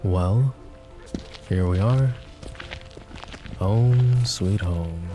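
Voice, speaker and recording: A man voicing a possessive, flirtatious mafia boss in an ASMR roleplay drama, with a low, soft, teasing drawl. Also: drawn-out words, over music, poor audio. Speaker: voice actor.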